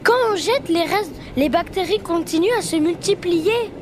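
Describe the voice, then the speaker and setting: A woman's voice: extremely high pitch, moderate pace, background noise. A child playing a grave, famous male scientist explaining lab findings in an audio story. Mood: serious, explanatory.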